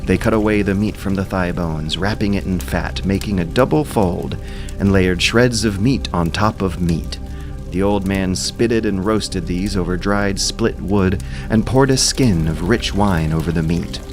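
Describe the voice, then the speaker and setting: A man giving a solemn, measured, dramatic narration of an ancient epic as a storyteller. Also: low-pitched, music in the background.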